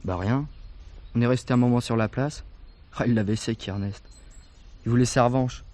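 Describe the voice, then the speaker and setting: A man's voice: mid-range voice, steady tone, normal speed, darkish tone, lightly acted. An actor playing a cheeky, defensive working-class teenage boy in a French radio drama, slangy and offhand. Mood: offhand, grudging.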